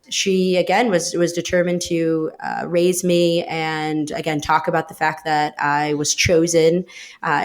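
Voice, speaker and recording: A woman, talking reflectively in an interview, with many fillers and restarts. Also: low-pitched. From upstate New York. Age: 30s. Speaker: guest, managing director of a design studio. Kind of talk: casual interview talk.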